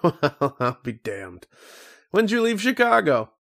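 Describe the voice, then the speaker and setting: A man's voice: on the high side, wildly swooping pitch, slow pace, creaky, fairly bright tone, full character voice. A man playing a seasoned American archaeologist in an old-time radio drama, wry, folksy and hearty. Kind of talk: old-time radio drama acting, first-person narration and dialogue.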